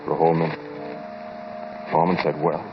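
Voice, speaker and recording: An actor playing a haunted amateur scientist who narrates a 1940s radio horror drama in a conversational, halting way with dramatic pauses. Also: male voice, rumbling low, flat delivery, background noise. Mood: musing, uneasy. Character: haunted male amateur scientist recounting a cosmic horror experiment. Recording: dull old 1940s radio recording, loud music.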